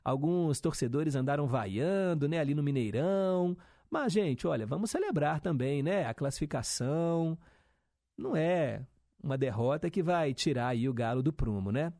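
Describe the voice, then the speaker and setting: A man's voice: on the high side, lively intonation, moderate pace, warm tone, no echo, studio quality. A young male radio host, chatting casually and reading listener messages with upbeat teasing asides.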